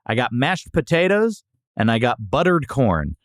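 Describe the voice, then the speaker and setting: Animated man hosting a food podcast. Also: mid-range voice, normal speed, dry recording, studio quality. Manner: opinionated, jokey. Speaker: podcast host. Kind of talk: casual food review chat.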